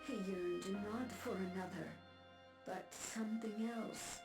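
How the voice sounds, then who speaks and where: An actress playing a lovestruck, fragile woman in a video game, speaking in a hushed, halting, wistful way. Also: medium pitch, fairly even intonation, far from the mic, natural voice. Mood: wistful longing. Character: lovestruck, fragile woman confessing devotion to her commander, a mother. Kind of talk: dramatic video game monologue. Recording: roomy echo under music.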